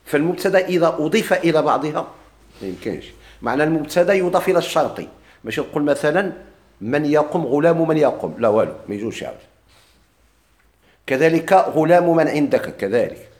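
A Moroccan-accented male teacher talks fast through a grammar lesson, his voice sitting a little high. He keeps asking his class questions, echoes their answers, and stretches out each quoted example slowly. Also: expressive, a little mellow. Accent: Moroccan Arabic. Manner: drawls quoted examples slowly, quick plain explanations; asks students questions and repeats their answers.

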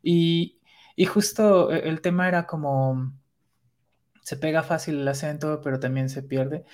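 A woman's voice: low voice, unhurried, darkish tone. A woman from Mexico City with a Mexico City Spanish accent, co-hosting a casual podcast chat, chatty and laughing often. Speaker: podcast co-host.

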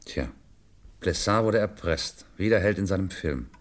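Casting: patient, shrewd commissioner, 1950s radio crime play. Low register, very animated melody, deliberate delivery. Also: male voice. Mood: explaining, assured. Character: male French police commissioner, shrewd, understanding, patient explainer. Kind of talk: 1950s German radio drama acting. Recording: 1950s radio drama studio recording.

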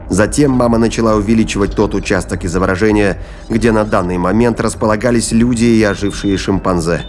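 A man's voice: sits low, a little mellow, slight background noise. A man narrating a science-fiction thriller audio play as scripted storytelling.

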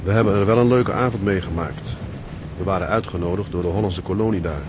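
An actor playing an earnest, weary young Dutch ship's officer in a radio drama. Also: male voice, low-pitched, fast talker, lightly acted. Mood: reflective, chatty. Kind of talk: radio drama acting with letter narration. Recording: very noisy, stormy ship sound effects.

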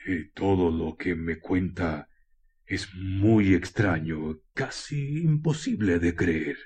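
A man with a Chilean accent playing a calm, grave village priest in a radio drama, slow, halting and paternal. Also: low voice, breathy edge, heavily acted. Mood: grave, doubtful.

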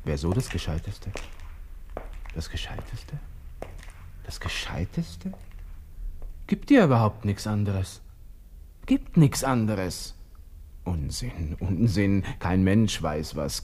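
A proud Austrian army officer in a radio drama, low and husky. He broods to himself in agitated interior monologue, slipping between whispers and outbursts as despair takes hold. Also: huge pitch swings, slow pace, pauses often, a little mellow, heavily acted. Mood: brooding, despairing.

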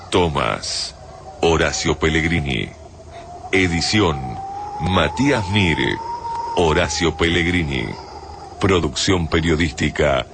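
A man reads a scripted radio drama narration in a measured, solemn announcer delivery, over music. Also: deep voice, background noise.

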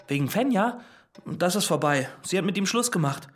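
Nervous, nerdy young student, music behind. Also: male voice, high voice, expressive, moderate pace. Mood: unsure. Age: young adult. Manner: hesitant, stammering, awkward. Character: nervous, nerdy young male maths student, socially awkward. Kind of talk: naturalistic radio drama acting.

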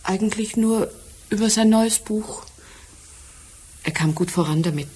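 Slightly husky, composed secretary. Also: female voice, medium pitch, measured pace, darkish tone. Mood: factual, earnest. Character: composed, precise female secretary giving a statement. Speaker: actress in a radio crime drama. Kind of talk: German radio drama acting.

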